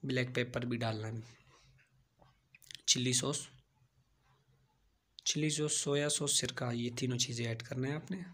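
A man's voice: medium pitch, steady tone, a little slow, long pauses, pushed and bright. A man narrating a home cooking tutorial, giving plain step-by-step instructions and repeating key phrases. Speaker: home cooking channel host.

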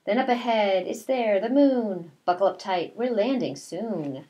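A middle-aged woman, a kindergarten teacher, reading a picture book aloud in a deliberate, expressive way with asides to young children. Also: medium pitch. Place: small bedroom at home, indoors.

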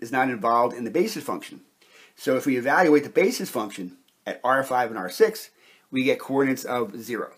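A man giving a methodical, step-by-step instructional lecture, pausing between short phrases in a deliberate way. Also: medium pitch, husky. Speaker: chemistry lecturer, presenter. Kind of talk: step-by-step instructional explanation at a whiteboard.

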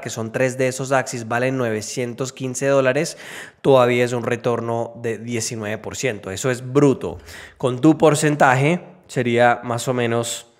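Male video host, thirties, mid-pitched, conversational explainer on a close mic at home. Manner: conversational, checks in with tag questions. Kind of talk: casual explainer to camera. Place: small home room, indoors. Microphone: close desk microphone on an arm.

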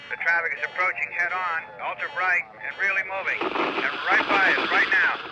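A man's voice: high voice, steady tone, normal speed. A man playing a composed airline pilot in a film, reporting matter-of-factly through a radio filter. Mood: controlled urgency. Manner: understated, matter-of-fact. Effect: cockpit radio filter. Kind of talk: radio procedure talk in a film. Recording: dull, noisy aircraft radio link.

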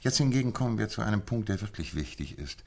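A confident detective in an old-school radio play, deep voice, precise, lecturing, very sure of himself. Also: male voice, animated, fast talker, vocal fry, darkish tone. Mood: confident, instructive. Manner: lecturing, precise, self-assured. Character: confident, methodical detective explaining his deductions. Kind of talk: German radio play acting.

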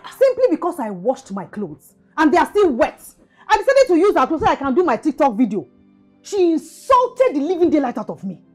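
Nigerian drama daughter, quarrelsome n indignant, fast high voice, repeats herself for emphasis. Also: female voice, huge pitch swings, a little mellow, faint music behind. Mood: aggrieved. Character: aggrieved, quarrelsome married daughter and sister-in-law. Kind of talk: screen family drama acting.

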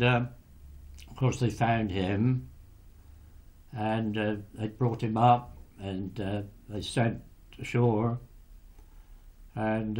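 An elderly man, a former lighthouse keeper, recalling the past in a halting, hesitant way with many pauses. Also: fairly low pitch, a little mellow. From England. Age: older. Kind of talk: recorded wartime recollection.

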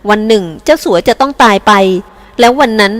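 A woman reading aloud and voicing an indifferent grown man, speaking flatly and offhandedly. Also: a little high, fairly quick, big-room sound, natural voice. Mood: offhand. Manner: flat and offhand. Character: indifferent adult man, a lover.

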